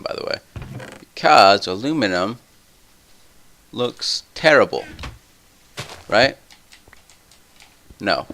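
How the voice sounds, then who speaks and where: Tired young man rambling, gravelly low voice. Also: a bit bright, a little hiss or hum. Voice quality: somewhat deep voice. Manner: rambling, ranting, full of tangents. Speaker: let's play host. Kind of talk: rambling gameplay commentary.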